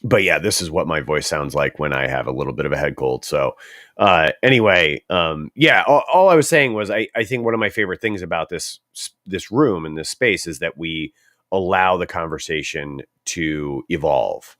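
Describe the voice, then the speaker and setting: Deep-voiced man with a stuffy head cold. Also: expressive, normal speed, fairly bright tone, dry recording. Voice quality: dry throat. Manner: reflective, thinks aloud with many fillers and restarts. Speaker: co-host. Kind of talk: casual panel discussion in a social audio room.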